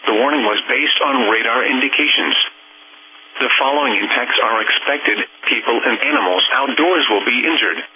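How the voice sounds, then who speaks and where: A synthetic American male voice reading scripted emergency weather bulletins in a formal, impersonal, measured manner. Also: medium pitch. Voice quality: synthetic text-to-speech voice. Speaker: automated weather radio announcer. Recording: noisy, narrow-band weather radio broadcast.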